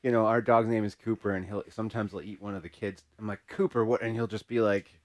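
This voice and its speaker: A man hosting a podcast in casual conversation, haltingly searching for his words. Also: fairly low pitch, fairly even intonation. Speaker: podcast host.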